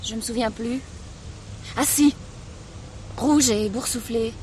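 High-voiced insolent teenage girl. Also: slow pace, a little mellow. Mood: insolent, defiant. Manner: curt, defiant. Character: insolent, sullen runaway teenage daughter. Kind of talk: French 1970s radio drama acting. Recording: noisy old radio drama recording.

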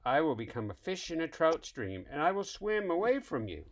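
A man's voice: fairly high pitch, very animated, normal speed, pitched above the performer's own voice. A man voicing a small, restless young male bunny with a bold, declaring storybook delivery. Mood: defiant.

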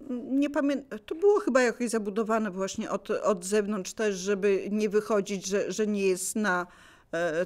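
An older woman casually sharing memories as a local resident, searching for words and repeating herself to explain. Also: on the high side. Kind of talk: casual spoken reminiscence.

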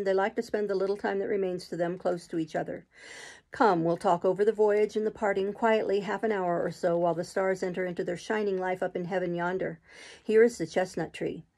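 Brooding gentleman master read by a woman, middle-aged man, earnest, gravelly undertone, classic audiobook. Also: lowish voice, steady tone, brisk. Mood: tender. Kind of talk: audiobook reading of a classic novel.

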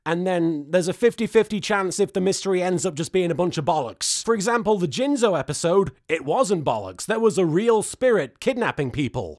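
A British man in his thirties giving a comedic, sarcastic and exasperated recap to camera as the host. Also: high voice. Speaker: host, episode reviewer.

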